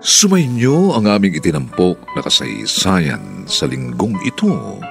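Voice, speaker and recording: A man's voice: fairly low pitch, sing-song, measured pace, vocal fry, soft background music. A man with a Filipino accent announcing a radio drama in a formal, stately, scripted style.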